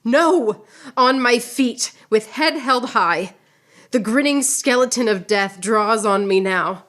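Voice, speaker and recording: A woman's voice: a little high, lively intonation, slow pace, a bit bright. A woman playing a proud, witty, wounded swordswoman poet in radio theater, with a lofty, theatrical delivery. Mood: defiant.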